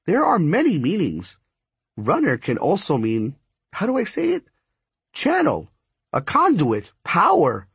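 A man's voice: fairly high pitch, huge pitch swings, a little slow, fairly bright tone, breathy edge, dry recording, voice pushed up, exaggerated voice. A man voicing a bossy, impatient and ancient female spirit who forges lightning, scolding and commanding in an audiobook story. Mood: exasperated, emphatic. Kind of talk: acted audiobook dialogue.

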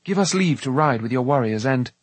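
A man's voice: mid-range voice, animated, fairly quick, husky, no echo. A man as an earnest young hero, dry sound. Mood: urgent. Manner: sincere, formal.

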